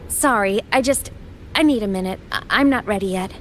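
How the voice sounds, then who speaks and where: A woman's voice: mid-range voice, brisk, lightly acted. A woman playing an earnest, caring, solemn young companion in an anime game. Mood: shaken, hesitant. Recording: game livestream capture, faint noise.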